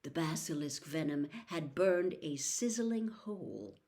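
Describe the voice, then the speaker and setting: Slow, dramatic woman storyteller. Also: low-pitched. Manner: expressive, suspenseful phrasing with dramatic pauses. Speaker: audiobook reader, host.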